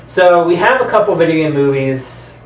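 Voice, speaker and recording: A man's voice: on the high side, expressive, moderate pace. A man co-hosting a casual movie chat, speaking informally with some mild swearing. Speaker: co-host. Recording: roomy, distant mic, faint background noise.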